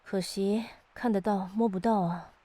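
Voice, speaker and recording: A woman's voice: mid-range voice, steady tone, measured pace, dark tone, close to an everyday voice. A woman playing a mysterious ancient being of the lake in an audio drama, casual and teasing with a wistful side. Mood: quiet, wistful. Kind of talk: audio drama dialogue acting. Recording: studio voice over background music.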